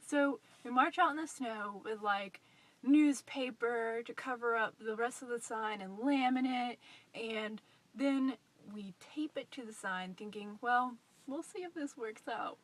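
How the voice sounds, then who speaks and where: Young American woman, higher-pitched, telling a story. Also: unhurried. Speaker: vlogger. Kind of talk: casual personal storytelling to camera. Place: inside a parked car at night. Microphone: phone at arm's length.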